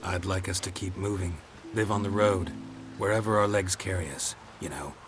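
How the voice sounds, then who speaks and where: Stoic fantasy game hero played by a man: deep low register, dry wit, restrained and understated. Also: moderate pace, music in the background, lightly acted. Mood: calm, wry. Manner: deadpan, terse, restrained. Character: stoic, dry-witted monster hunter, quietly devoted. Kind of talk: understated video game cutscene acting.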